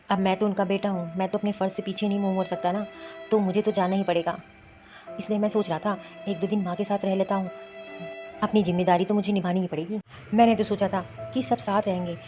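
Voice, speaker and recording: A woman's voice: medium pitch, mellow, lightly acted. A woman playing a troubled, dutiful husband in an amateur family cartoon, speaking in a hesitant, resigned manner. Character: troubled, dutiful husband torn between mother and wife. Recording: muffled lo-fi sound, music behind.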